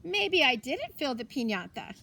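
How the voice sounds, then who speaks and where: A woman's voice: sits high, big pitch swings, normal speed, vocal fry, pushed and bright, slight background noise, exaggerated voice. A woman voicing a cheerful, forgetful Mexican grandmother in a children's storybook, exclaiming and musing aloud with Spanish phrases mixed in. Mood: wondering, contented. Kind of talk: children's storybook character reading.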